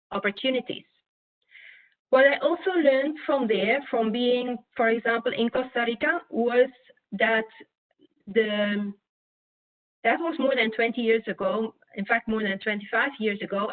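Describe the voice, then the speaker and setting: Belgian woman speaking over a tinny call. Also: a little high. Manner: earnest, with many fillers and restarts. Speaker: guest speaker on entrepreneurship. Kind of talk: webinar talk. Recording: tinny phone-like online call audio.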